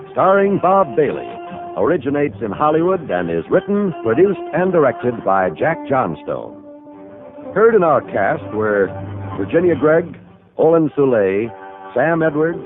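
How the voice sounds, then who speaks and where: A man's voice: on the high side, narrow, tinny audio. American guy announcing a 50s radio show, super swoopy formal delivery, music under him, thin old broadcast sound. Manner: formal, declamatory. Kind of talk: 1950s radio announcing, scripted.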